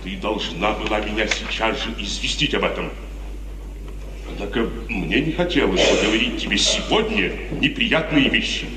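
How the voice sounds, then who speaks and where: A man's voice: on the low side, normal speed, lightly acted. An actor playing a stern, proud, suspicious middle-aged father in a declamatory 1950s Russian radio drama. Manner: declamatory, emphatic. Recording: noisy, reverberant 1950s radio recording, distant.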